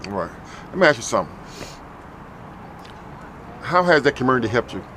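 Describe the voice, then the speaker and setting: A man's voice: medium pitch, big pitch swings, normal speed, sharp tone, slight background noise, low-quality recording. A man running a casual street interview, repeating answers back and stammering through restarts. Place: city street outdoors.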